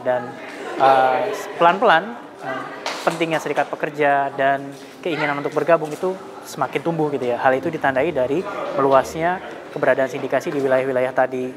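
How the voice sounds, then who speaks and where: Fast-talking earnest man, crowd behind. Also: mid-range voice. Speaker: union chair speaking at panel. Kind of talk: interview statement.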